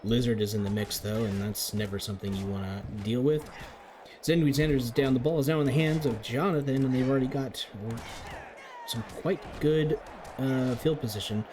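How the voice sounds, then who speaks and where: A man giving chatty, upbeat live commentary on a game as league commentator, his voice getting a little raspy. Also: mid-range voice, fairly even intonation, moderate pace, noisy background. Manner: chatty, upbeat play-by-play with frequent restarts.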